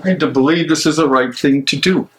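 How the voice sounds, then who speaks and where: A man in a dramatic stage reading playing a tormented, guilt-ridden German theologian, speaking haltingly and theatrically. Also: on the high side, natural voice. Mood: grave, troubled.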